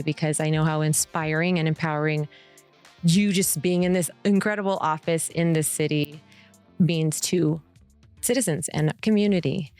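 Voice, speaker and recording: Low-voiced enthusiastic woman podcast host. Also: darkish tone. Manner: enthusiastic and affirming, with frequent mm-hmms. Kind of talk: interview podcast hosting.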